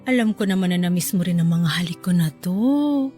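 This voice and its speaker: A woman's voice: on the low side, expressive, brisk, mellow, faint music behind, natural voice. A woman playing a seductive, clingy mistress in a radio drama, coaxing and pleading.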